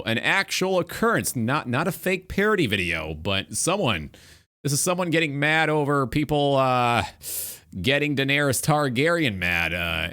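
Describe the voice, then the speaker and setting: A man's voice: mid-range voice, sharp tone. A man hosting a casual streamed talk show, rambling loosely and swearing as he comments. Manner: rambling, swearing, echoing what he hears.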